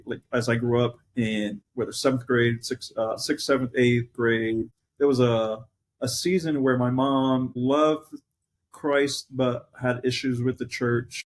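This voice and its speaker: A man's voice: medium pitch, fairly even intonation, takes it slowly. A man with a mid-range voice talks through his own life story as a pastor guest on a podcast. He is reflective and conversational, often stopping and restarting as he looks for the right words. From Colombia and New Jersey. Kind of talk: conversational personal testimony.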